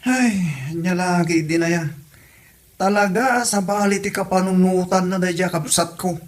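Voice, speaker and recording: Casting: actor as fed-up Ilocano husband in radio comedy, notably high male voice, complaining manner. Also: fairly even intonation, moderate pace, close to an everyday voice. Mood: exasperated. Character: exasperated husband grumbling about his spendthrift sibling.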